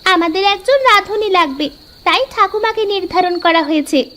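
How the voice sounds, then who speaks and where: উঁচু গলার হাসিখুশি গ্রামের ছোট মেয়ে. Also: female voice, very high voice, animated, normal speed, a bit bright, pitched above the performer's own voice. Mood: playful. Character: cheerful, eager young village girl, the granddaughter. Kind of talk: animated cartoon story acting.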